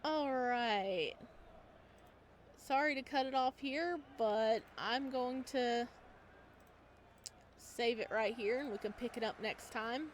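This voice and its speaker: A woman's voice: fairly high pitch, slow, pauses often, a little hiss or hum. A woman reading a visual novel aloud as a let's play host, with casual, amused asides.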